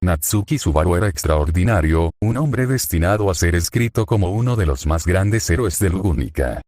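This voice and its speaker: Male narrator, low voice, dry close sound, steady fanfic reading. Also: normal speed, no room sound. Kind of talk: reading a translated fan story aloud.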